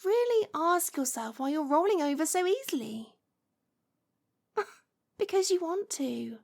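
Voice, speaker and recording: Possessive lovesick witch, played by a woman, ASMR audio drama. High, slow delivery, coaxing and sweet with a controlling edge. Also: animated, exaggerated voice. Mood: sweetly menacing. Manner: breathy whispers mixed with giggles, sighs and cooing.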